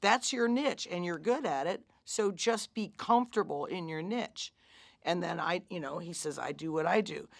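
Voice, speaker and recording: A woman's voice: fairly low pitch, moderate pace, vocal fry, no echo. A woman, a musician guest, telling a story in casual chat with an earnest, reassuring manner.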